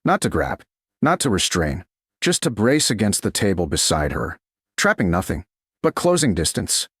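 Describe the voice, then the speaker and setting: A man's voice: low voice, huge pitch swings, moderate pace, fairly bright tone, husky, no room sound, clean studio sound. A man narrating a romance story as a scripted audiobook.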